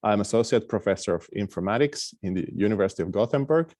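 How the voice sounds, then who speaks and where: Finnish man lecturing, low and hesitant. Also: expressive, quick pace, darkish tone, no echo, studio quality. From Finland. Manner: hesitant, many fillers and restarts. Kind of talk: academic lecture over a video call. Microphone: video call microphone.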